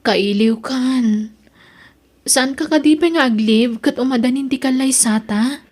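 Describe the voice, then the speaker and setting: A woman's voice: high-pitched, unhurried, a little mellow. A woman acting in a family drama as an anxious, pregnant young fiancée, plaintive and conversational.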